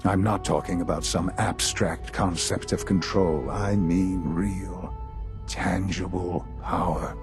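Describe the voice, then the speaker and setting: An actor playing a grave older institute leader in a video game, speaking formally and deliberately with measured pauses. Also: male voice, low-pitched. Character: grave older male leader of a secretive science institute, dying.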